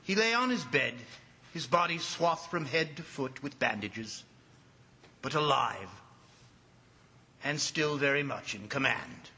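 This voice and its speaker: An actor playing a grave commissioner, an awed eyewitness narrating in a measured, dramatic old radio style. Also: male voice, medium pitch, lively intonation, sharp tone. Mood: solemn, relieved.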